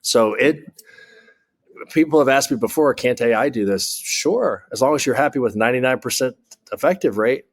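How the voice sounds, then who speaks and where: A man's voice: mid-range voice, moderate pace. An American man speaking candidly as an interview guest, an air traffic controller explaining things bluntly and emphatically with examples and jargon.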